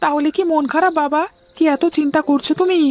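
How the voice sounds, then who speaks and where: A woman's voice: high-pitched, fairly even intonation, quick pace, darkish tone, lightly acted. A woman voicing an earnest, caring young village boy in a fairy-tale cartoon, childlike and concerned. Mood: worried.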